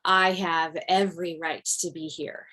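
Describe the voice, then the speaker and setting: A woman's voice: fairly low pitch, fairly even intonation, a little slow, sharp tone, poor audio. A woman playing a wry, blunt mother in a comedy script table read. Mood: biting, wry. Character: wry, blunt widowed mother of two, former standup comic. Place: remote video call.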